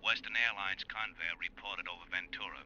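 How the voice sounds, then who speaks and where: A man's voice: low-pitched, steady tone, fairly quick, pushed and bright. A man playing a businesslike American air controller in a 1950s radio drama, reporting formally over a radio transmission. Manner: formal radio procedure delivery. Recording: muffled, noisy vintage radio recording.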